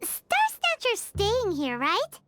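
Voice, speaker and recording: Tiny game fairy girl, very high squeaky voice, swooping intonation, cheerful, excitable, childlike. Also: takes it slowly, full character voice. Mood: musing. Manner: speaks of herself in third person, exclamatory. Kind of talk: video game cutscene acting. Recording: loud background music.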